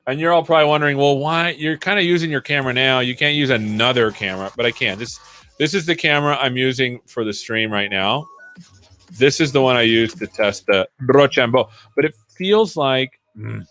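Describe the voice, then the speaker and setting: A man hosts a developer livestream, working through code live and talking it out as he goes. His voice sits at an ordinary middle pitch, and he often drops to a mutter when talking to himself. Soft music plays faintly behind him. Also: fairly quick. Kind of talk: live coding, thinking aloud.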